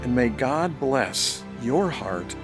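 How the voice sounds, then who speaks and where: A man's voice: mid-range voice, big pitch swings, slow pace. A man reading a scripted closing announcement as announcer for a religious audiobook, with music behind him. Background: clear music.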